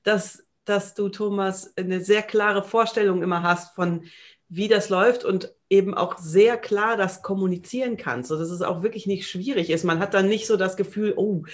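Woman with a fairly low voice, chatting. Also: moderate pace. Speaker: voice actress, interview guest. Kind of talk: casual interview chat. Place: home room, video call.

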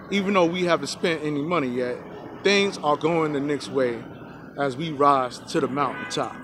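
A man in his forties vlogging outdoors into a phone held at arm's length, giving excited, preachy sports opinions and calling out "y'all" to his viewers. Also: a little high, noisy background. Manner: hyped, preachy, keeps saying "y'all". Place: outdoors by a rocky hill in a park. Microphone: phone selfie camera at arm's length.

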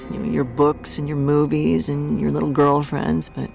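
A woman voicing a bitter, cruel horse mother in an adult cartoon, coldly cutting and creaky. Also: sits low, little pitch movement, normal speed, a little mellow, faint music behind. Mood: cold disdain.

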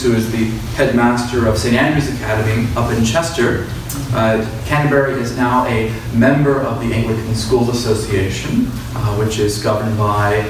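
A man, a priest, giving courteous, semi-formal announcements to a church congregation. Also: mid-range voice. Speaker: officiating priest. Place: church interior, at a lectern. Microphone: distant camera in the pews. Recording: very echoey church, distant, noisy.

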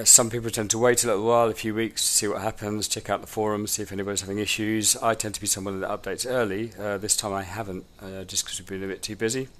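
A man with a cold, sounding snuffly, giving a relaxed, rambling step-by-step tutorial at a table in a room at home. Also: lowish voice. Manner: informal, rambling, many ums. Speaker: drone instructor. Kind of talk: hands-on tutorial walkthrough.

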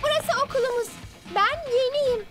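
A woman voicing a perky, naive teenage monster girl in a cartoon dub, bubbly, excitable and earnest. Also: very high-pitched, moderate pace. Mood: cheerful, eager. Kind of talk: animated cartoon dubbing. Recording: background music under the voice.

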